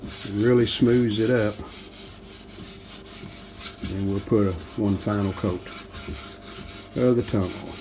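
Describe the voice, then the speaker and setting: A man giving casual, plainspoken, unhurried narration of hands-on workshop work, like a DIY video host. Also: lowish voice, steady tone, mellow, poor audio. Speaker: host of a restoration channel.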